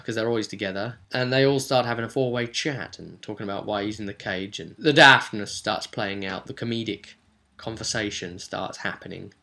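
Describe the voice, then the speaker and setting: A man casually recapping a tabletop roleplaying session as game master, telling it in an animated storytelling way. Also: lowish voice. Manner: animated storytelling, slipping into quick character quotes.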